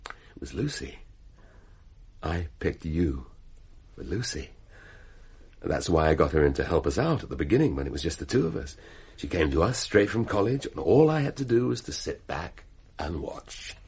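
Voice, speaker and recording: A sneering middle-aged British businessman and husband for a radio play. The voice is extremely low and rough-edged. He insinuates and needles with drawn-out rhetorical questions. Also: very animated, a little mellow, full character voice. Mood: smug, needling.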